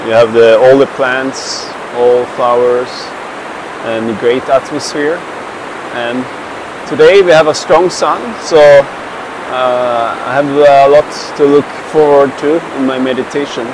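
A man in his thirties with a Swedish accent, speaking to the camera outdoors in a slow, halting way with many fillers and restarts. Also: mid-range voice, steady tone. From Sweden. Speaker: traveler and meditation practitioner. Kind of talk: casual spoken account to camera. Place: outdoors by a mountain river. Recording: rushing river noise behind.

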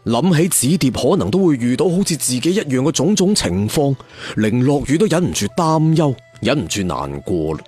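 A man's voice: medium pitch, very animated, normal speed, a little mellow, husky, faint music behind. A man telling a serial story in a theatrical, colloquial traditional storyteller style. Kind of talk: dramatic serial storytelling narration.

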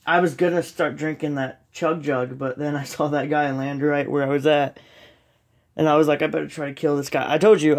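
A young man with a slight cold, casually commenting while he plays a game at home, rambling and self-deprecating with many ums. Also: fairly high pitch. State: sniffly with a cold. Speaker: gaming channel host. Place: dark room at home, filming a TV screen.

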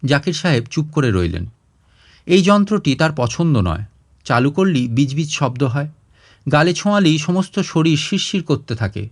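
A man narrating a literary short story in an expressive audiobook style. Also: on the high side, moderate pace.

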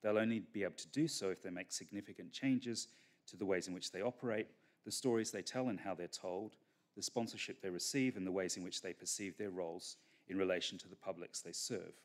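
A middle-aged man giving a keynote lecture from a podium on a conference stage, measured and full of fillers and restarts. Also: low voice. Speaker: keynote speaker. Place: large conference hall stage. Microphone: podium microphone.

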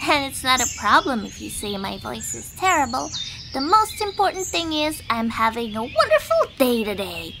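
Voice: high-pitched, huge pitch swings, normal speed, creaky, full character voice. An actor voicing a carefree, cheerful little butterfly in a bubbly cartoon manner, stretching out happy phrases. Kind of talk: children's cartoon story acting. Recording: noisy birdsong ambience under voice. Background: chirping birds.

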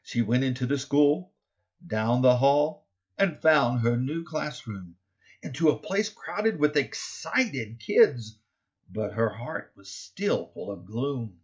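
A middle-aged man reading his own children's picture book aloud, expressively and deliberately, stressing key words in the rhymes. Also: medium pitch, animated, highs cut off. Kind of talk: expressive children's storybook narration.

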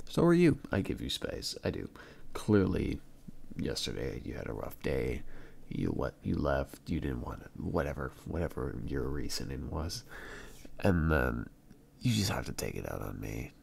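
Very deep, gravelly, moody boyfriend, acted by a man. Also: full character voice. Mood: weary, frustrated. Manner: halting, drawn-out, repeats words, swinging between mutters and outbursts. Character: hurt, irritated young boyfriend arguing with his partner. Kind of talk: boyfriend roleplay ASMR, acted monologue.

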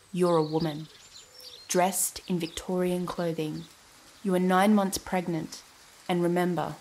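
A woman's voice: fairly low pitch, a little mellow, a little hiss or hum, rough recording. A woman narrating a scripted history podcast, speaking directly to the listener in a dramatic storytelling manner.

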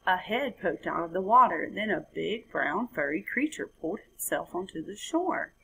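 A woman's voice: on the low side, very animated, normal speed, gravelly, sharp tone, slightly rough, low-quality recording. A woman in her thirties reading a children's story aloud expressively, recorded at home on a computer mic. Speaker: storybook reader. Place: indoor room at home. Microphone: webcam or laptop mic.

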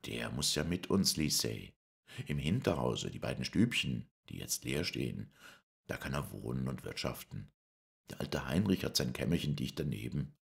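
An earnest young suitor in a spoken novella pleads with quiet tenderness. The reader keeps his own very deep, gravelly voice, with lively rises and falls. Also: normal speed, full character voice. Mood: reassuring.

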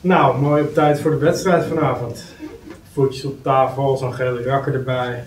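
A man's voice: medium pitch, steady tone, moderate pace, close to an everyday voice. A man playing a jovial, teasing office colleague of fifty with chummy, mocking, colloquial banter at a script table read. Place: meeting room, indoors. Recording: roomy, distant mic, faint noise.

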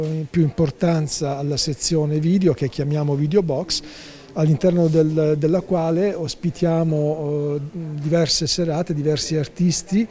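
A man's voice: on the high side, warm tone. A man in his sixties being interviewed as a festival's artistic director, talking through a face mask with many fillers and restarts. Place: indoor office, wearing a face mask.

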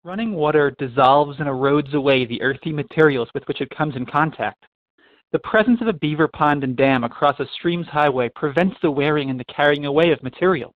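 A man's voice: a little high, brisk, dry recording. A man reading a nature book aloud. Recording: dry, phone-like narrow sound.

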